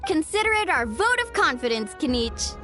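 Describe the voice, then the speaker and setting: A woman's voice: high voice, big pitch swings, moderate pace. A woman playing a bubbly, chirpy, sociable young shopkeeper in a video game. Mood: upbeat. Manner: chirpy, enthusiastic. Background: background music.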